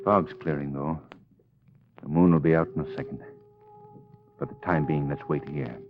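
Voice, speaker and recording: A man's voice: very deep voice, steady tone, normal speed, background noise. An actor playing a wealthy, cool-headed young man-about-town sleuth in an old radio drama, speaking in a wry, urbane way. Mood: tense, commanding. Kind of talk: 1940s radio drama acting. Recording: muffled old radio recording.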